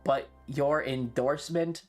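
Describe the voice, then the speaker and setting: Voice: mid-range voice, fairly even intonation, unhurried, soft background music. A streamer chatting casually and live, rambling and opinionated, swearing freely and complaining with playful exaggeration. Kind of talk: casual livestream chat.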